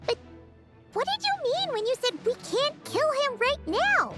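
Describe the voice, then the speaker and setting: A woman voicing a tiny curious fairy companion with a squeaky, eager way of asking questions. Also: sing-song, unhurried, loud music behind the voice, full character voice.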